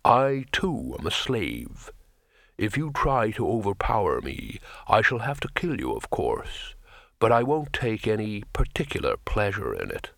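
Man acting a slow, weary, huge sea troll. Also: fairly low pitch, huge pitch swings, gravelly, a bit bright, no room sound, clean studio sound, heavily acted. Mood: calm menace. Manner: slow, deliberate, heavy delivery.

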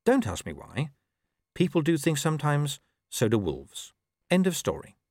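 A man's voice: mid-range voice, very animated, moderate pace, a little mellow, no echo. A man voicing a guarded, blunt werewolf woman in an audiobook, with dry sing-song sarcasm. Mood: exasperated, sarcastic. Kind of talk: audiobook character voice.

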